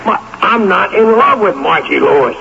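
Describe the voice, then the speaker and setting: A man's voice: high voice, lively intonation, moderate pace, gravelly, heavily acted. A man playing an earnest, stubborn young football hero in love, speaking defensively in a radio drama. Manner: defensive, earnest, emphatic repetition. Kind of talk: 1980s radio drama acting. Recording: dull, noisy old radio recording.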